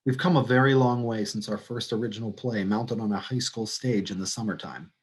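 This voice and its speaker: A man's voice: fairly low pitch, brisk, husky. A man delivering a scripted introduction speech with theatrical, wry, self-mocking asides. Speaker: theater company director and playwright introducing a livestream reading.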